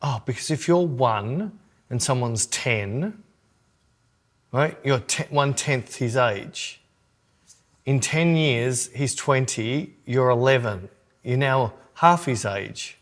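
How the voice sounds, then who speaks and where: A man's voice: mid-range voice, slow pace. A man in his sixties co-hosting a podcast, chatting casually with dry, teasing banter. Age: 60s. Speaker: podcast co-host. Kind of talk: casual chat.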